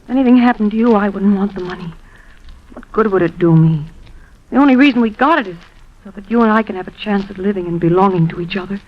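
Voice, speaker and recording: A woman's voice: mid-range voice, fast, close to an everyday voice. Actress doing a worried young american girlfriend in an old 50s radio play, clingy and emotional, muffled sound. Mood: anxious, pleading. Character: devoted, anxious young working-class American girlfriend. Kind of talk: 1950s radio drama acting. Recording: dull old radio recording with music.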